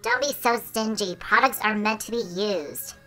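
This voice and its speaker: A woman's voice: mid-range voice, moderate pace, pushed and bright, faint music behind, natural voice. A woman voicing a vain, dramatic little childlike bee sprite in a fussy, theatrical cartoon comic dub.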